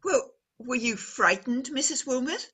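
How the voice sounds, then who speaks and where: An actress playing a radio reporter in a radio play, describing the scene formally and dramatically, as if live on air. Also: female voice, high-pitched, lively intonation, a little slow, sharp tone, husky, exaggerated voice. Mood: polite, brisk. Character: woman radio reporter, breathless and awestruck at a scene. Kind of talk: radio play acting as live news commentary.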